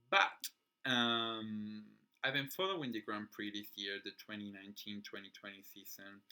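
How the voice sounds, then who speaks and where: A young Chilean man in his twenties speaks English as a second language, and his Spanish accent colors the words. His voice sits a bit low yet comes across bright and forceful. He talks in a relaxed vlog manner, often stopping on fillers and starting his sentences again. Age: 20s. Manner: chatty, many fillers and restarts, occasional laughs. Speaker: figure skating vlogger. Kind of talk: casual channel introduction.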